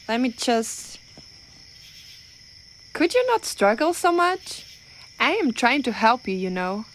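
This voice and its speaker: Woman acting apologetic clumsy giantess, fairly high voice, unhurried pace, rambling and reassuring, ASMR roleplay, faint crickets behind. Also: slight background noise. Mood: fussing, exasperated. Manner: hesitant, rambling, gently reassuring. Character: clumsy, well-meaning, apologetic young female giant.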